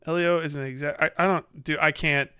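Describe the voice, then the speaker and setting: A man commentates casually on a livestream in a fairly high, clean voice. The sound is dry and close, and he is blunt, with plenty of swearing. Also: fairly even intonation, a little fast, pushed and bright, smooth, no room sound. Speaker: livestream host.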